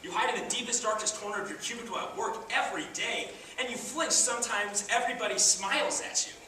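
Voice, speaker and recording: Male actor as outgoing teasing buddy, blunt, lively pitch, stage comedy, far from the mic with background noise. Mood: coaxing, teasing. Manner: teasing, blunt, drawn-out delivery. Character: Jim, teasing, outgoing buddy of an awkward man. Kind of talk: stage comedy acting, projected. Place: theater stage, recorded from the audience distance. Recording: echoey theater, far mic, noisy.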